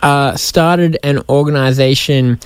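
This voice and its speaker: A man's voice: mid-range voice, steady tone, slow pace, clear, resonant tone, no echo. A male radio host asking questions in a friendly, slightly hesitant way in a studio interview. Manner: friendly, hesitant phrasing with fillers.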